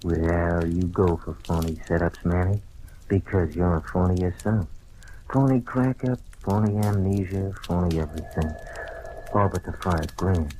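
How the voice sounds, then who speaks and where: An actor playing a small-time blackmailer in the acting style of an old radio detective drama. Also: male voice, deep voice, fairly even intonation, normal speed, mellow, close to an everyday voice. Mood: wry, smug. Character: small-time blackmailer, smug then desperately pleading for his life. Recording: noisy old radio recording.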